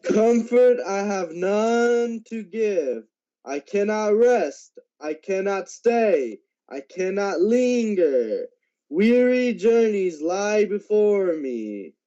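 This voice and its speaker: A teenage male actor playing the mournful, remorseful ghost of a businessman in a radio drama, with a drawn-out, weighty delivery. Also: high voice, big pitch swings, dark tone, exaggerated voice. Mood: weary, sorrowful. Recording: dull lo-fi amateur recording.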